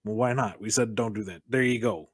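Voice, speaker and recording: A man's voice: on the low side, a little fast, slightly rough, no echo, clean studio sound. A man in casual podcast talk as a writer, wryly acting out quoted exchanges. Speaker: comic book writer.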